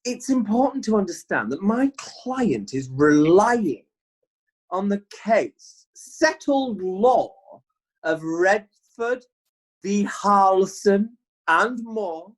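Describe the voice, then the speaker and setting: A man playing a genteel medieval lawyer who pleads a case in a measured, deliberate way. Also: high voice, heavily acted, poor audio. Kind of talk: amateur radio drama.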